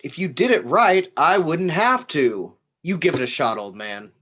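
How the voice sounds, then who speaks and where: A man's voice: a little high, lively intonation, unhurried, fairly bright tone, husky, full character voice. Man playing an irritable boss, dull lo-fi audio. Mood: scornful. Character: impatient, irritable, foul-mouthed male boss on a video call. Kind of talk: live table read of a script.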